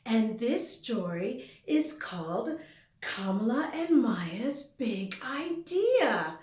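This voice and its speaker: A woman reading a picture book aloud to children in an expressive way, with conversational asides. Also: on the high side, drawn-out words. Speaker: storybook reader, host. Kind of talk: animated read-aloud for children. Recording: roomy indoor echo.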